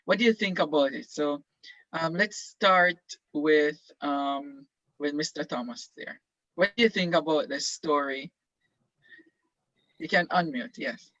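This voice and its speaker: Brief: male teacher, bright and high-pitched, halting encouraging questions, dull dry video call sound. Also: dry recording. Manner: halting and encouraging, many ums, slow prompting questions. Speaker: teacher leading a class discussion. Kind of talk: online classroom discussion.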